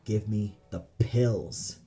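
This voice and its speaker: A man's voice: fairly low pitch, very slow, dark tone, soft background music. A man playing a desperate, demanding drug-addicted composer in an audio play.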